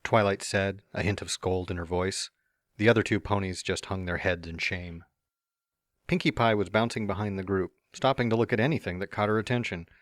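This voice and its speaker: A man's voice: low-pitched, a little fast, dry recording, clean studio sound. A man reading a fan story aloud as narrator, with playful, smug first-person asides.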